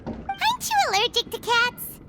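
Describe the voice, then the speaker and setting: A woman voicing a tiny, cheeky, childlike fairy companion in an anime game, with a squeaky, chirpy delivery. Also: sing-song, moderate pace, full character voice. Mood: indignant. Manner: chirpy, refers to self in third person. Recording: loud music under the voice. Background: loud game music.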